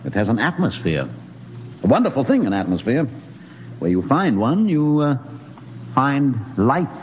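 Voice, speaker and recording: In a muffled, echoing old radio recording, a brooding scientist on a spaceship. He muses deliberately and sardonically, his pitch swinging expressively, in the manner of 1950s radio drama. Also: very animated, slight background noise. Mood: musing. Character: brooding, coolly philosophical male scientist aboard a spaceship.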